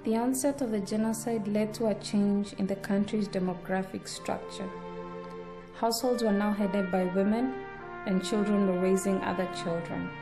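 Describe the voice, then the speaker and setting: A woman's voice: medium pitch, steady tone. Woman narrating a documentary over music. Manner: measured, formal. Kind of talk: scripted narration. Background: background music.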